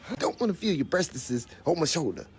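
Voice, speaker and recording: A man's voice: a little high, sing-song, husky. A man in a comedic rant, exasperated and drawing out his words for emphasis. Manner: exasperated ranting, drawn-out emphasis. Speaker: creator of a reacted clip.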